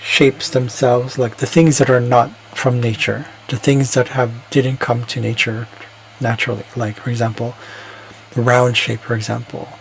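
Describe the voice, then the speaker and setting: A man's voice: mid-range voice, level tone, moderate pace, vocal fry, a little hiss or hum, poor audio. A man talking alone in a casual, reflective monologue, rambling and hesitant, often restarting his sentences. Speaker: vlogger.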